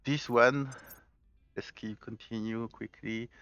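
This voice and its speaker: A man's voice: medium pitch, steady tone, slow, a bit bright, smooth, dry recording, highs cut off. A man with a mixed French, Spanish and Galician accent, giving let's play commentary and explaining his choices step by step as he thinks aloud. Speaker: gaming channel host.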